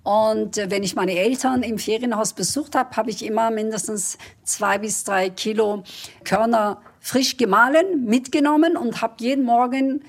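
Middle-aged woman guest from Turkey, Kurdish native, husky, earnest and upbeat. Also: fairly high pitch, normal speed. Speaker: politician guest. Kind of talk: interview answers.

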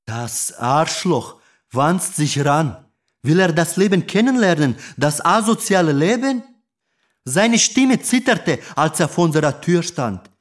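An actor playing an angry, streetwise young gang member in a radio drama, crude, slangy and contemptuous. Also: male voice, on the high side, expressive, unhurried. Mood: contemptuous, threatening.

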